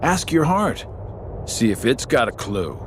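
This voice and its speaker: An actor playing a smug, taunting middle-aged villain with a sardonic, mockingly playful drawl in a video game cutscene. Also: male voice, medium pitch, very animated, a little slow, background noise. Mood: breezy, condescending. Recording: game soundtrack music behind the voice.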